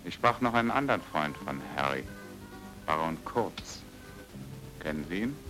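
A man's voice: low-pitched, expressive, moderate pace. An actor playing a dogged, plain-spoken man investigating his friend's death in an old German radio drama, terse and probing. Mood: probing, suspicious. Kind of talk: 1950s-60s German radio drama acting. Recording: old radio play with music.

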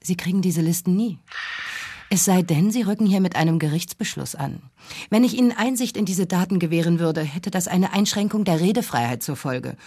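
Combative female radio host: low husky voice, mocking and condescending delivery, radio drama. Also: expressive, brisk, warm tone, no echo, clean studio sound. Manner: sarcastic, condescending, self-assured. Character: sharp-tongued, combative female radio talk show host. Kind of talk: German radio drama acting.

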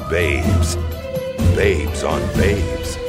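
An actor playing an ominous, mock-grave horror movie trailer announcer, speaking in slow, dramatic, deadpan phrases. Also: male voice, extremely low pitch, heavily acted. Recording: voice under loud trailer music.

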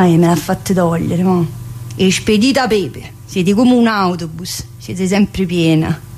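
A woman's voice: medium pitch, slight background noise, close to an everyday voice. A woman playing a cheeky, needling female prison inmate in a colloquial theatre monologue, with a southern Italian accent and a teasing, familiar manner. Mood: aggrieved. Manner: teasing, familiar, full of rhetorical questions. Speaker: stage actress performing a monologue. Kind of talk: theatrical monologue in colloquial dialect-tinged speech.

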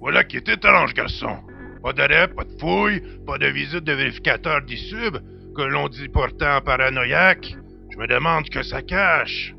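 A man playing a cranky, theatrical ship's computer with an old pirate's raspy, creaky voice in an audio drama. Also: medium pitch, expressive, moderate pace, muffled recording, soft background music. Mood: wary. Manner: grumbling, grandiloquent, teasing.

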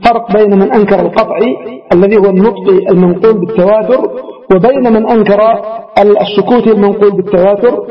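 High-pitched man lecturing in a reverberant hall. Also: steady tone, quick pace. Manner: didactic, restates and explains each quoted phrase. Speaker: religious studies teacher. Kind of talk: lecture explaining a classical text line by line. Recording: reverberant hall, dull lo-fi radio-like sound.

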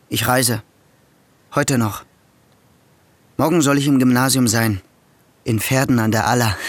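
A man's voice: medium pitch, dry recording, close to an everyday voice. An actor playing a resentful, debt-ridden young man who talks in a bitter, mocking, self-pitying way in a radio drama. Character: broke, resentful young male nephew. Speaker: new schoolmaster, the nephew.

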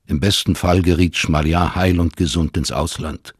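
An actor as a solemn storyteller, narrating a literary radio drama in measured phrases. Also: male voice, sits low, steady tone, breathy edge, no room sound, clean studio sound. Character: sombre storyteller of a family tale.

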